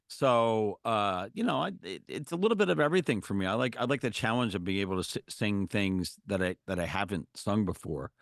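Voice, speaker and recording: A man's voice: animated, fairly quick, gravelly, few pauses, no echo, studio quality. A middle-aged man, a musician guest, chatting casually with lots of fillers and restarts. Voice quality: low singing voice. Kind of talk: casual interview chat.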